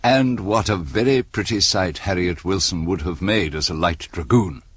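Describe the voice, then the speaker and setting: An actor playing a wry, dry storyteller narrating a historical radio drama. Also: male voice, deep voice, moderate pace, husky, no room sound. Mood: wry, amused.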